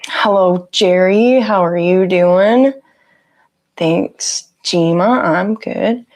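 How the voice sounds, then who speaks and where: Raspy, slightly low-voiced woman streamer. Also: extremely slow, long pauses, darkish tone. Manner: chatty, greets viewers by name, laughs often. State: sick with a cough. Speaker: livestream host. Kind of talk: casual livestream chat with viewers. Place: her home office, indoors. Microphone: lapel mic on her shirt.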